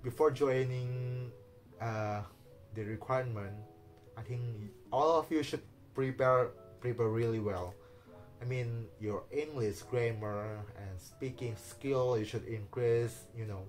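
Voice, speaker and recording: A man's voice: medium pitch, low-quality recording. Guy telling his story on camera, english isn't his first language, stops and starts a lot, bit of music underneath. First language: Indonesian. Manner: halting non-native English with many fillers and restarts, soft nervous laughs. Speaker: vlogger. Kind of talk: casual personal vlog talk.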